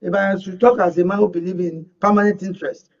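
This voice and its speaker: Male Nigerian panel guest, high husky voice, argumentative interjector, muffled studio sound. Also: steady tone, fast, distant mic. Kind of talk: political panel debate. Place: radio and TV studio.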